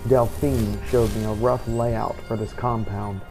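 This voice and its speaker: A man narrating video game play in character, in a formal, measured storytelling manner. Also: fairly low pitch, steady tone, dark tone. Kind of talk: scripted first-person game narration. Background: game music.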